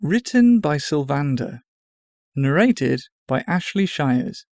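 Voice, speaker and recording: A man's voice: fairly high pitch, big pitch swings, slow, creaky, a little mellow. A man reading a scripted introduction as a podcast announcer.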